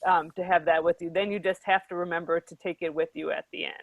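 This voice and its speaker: A woman giving measured, hesitant advice on an online convention panel, with frequent fillers. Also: lowish voice, little pitch movement, no room sound.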